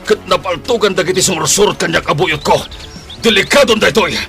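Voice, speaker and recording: A man playing a snarling, menacing gang boss in a radio drama, shouting and gloating at his men and enemies. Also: high voice, brisk, vocal fry, faint music behind. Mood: rattled, desperate. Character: snarling male villain, gang boss commanding armed men. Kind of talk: Ilocano radio drama acting.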